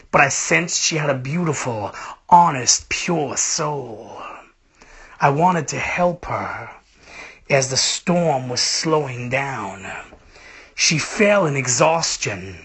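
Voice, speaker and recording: A man narrates a story slowly and dramatically, in a fairly high, husky voice. His tone is bright and intense, and he draws out phrases with theatrical feeling, letting the endings fall to a breathy hush. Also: lively intonation. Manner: theatrical, emotive, drawn-out delivery with breathy hushed phrase endings. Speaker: reader of a short story. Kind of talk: dramatic literary narration in first person.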